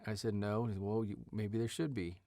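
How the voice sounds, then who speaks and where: A man's voice: on the low side, level tone, fairly quick. A man in a casual podcast discussion, talking in informal, loosely rambling phrases.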